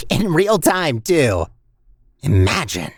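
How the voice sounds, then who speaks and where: Wildly animated, scheming robot core. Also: male voice, a little high, slow, husky, dry recording, studio quality, full character voice. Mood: wry, bargaining. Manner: rambling, wry, self-interrupting. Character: chatty, scheming robot core, nervously upbeat and sardonic. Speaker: game character guide. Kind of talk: video game voice acting.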